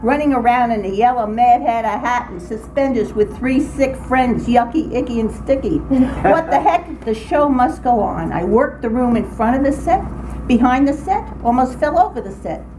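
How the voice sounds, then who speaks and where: A woman's voice: fairly high pitch, lively intonation, moderate pace, dark tone. An older woman reading a humorous personal essay aloud in a theatrical, comic storytelling manner. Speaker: writer reading her own account to a writing group. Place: indoor group meeting room. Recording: noisy room recording, background ambience.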